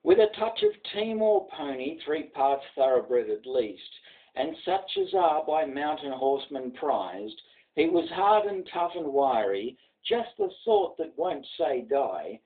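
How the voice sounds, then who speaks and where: A man's voice: fairly high pitch, wildly swooping pitch, unhurried, phone-quality sound. A man with an Australian accent giving a dramatic recitation of poetry. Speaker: poetry reader.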